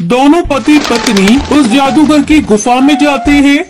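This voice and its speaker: A woman's voice: sits high, unhurried. A woman reading scripted narration for a children's cartoon story.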